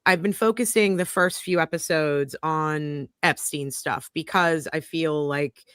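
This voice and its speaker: A woman's voice: deep voice, measured pace, clean tone, dry recording, clean studio sound. An American woman hosting a live news talk stream, chatty with frequent ums and restarts, on a home video call. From United States. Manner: chatty, warm greetings, many ums and restarts. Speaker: host of a news show.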